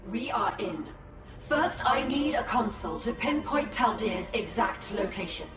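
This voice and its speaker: Actress as a solemn, echoing alien seer. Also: female voice, medium pitch, animated, a little slow, lightly acted. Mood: grave, resolute. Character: proud, solemn female alien seer commander. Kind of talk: dramatic video game acting. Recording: long echo, distant, music behind.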